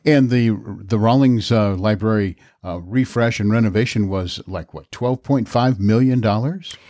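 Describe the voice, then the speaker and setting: A man's voice: on the low side, normal speed, warm tone, dry recording, clean studio sound. A man hosting a local podcast, asking questions in an easygoing way with many fillers. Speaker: podcast host. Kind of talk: podcast interview and read ad.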